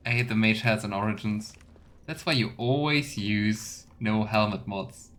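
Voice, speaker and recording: A man's voice: fairly low pitch, a little slow, fairly bright tone. A man giving casual let's play commentary, thinking aloud in loose fragments. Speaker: game streamer. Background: faint game music.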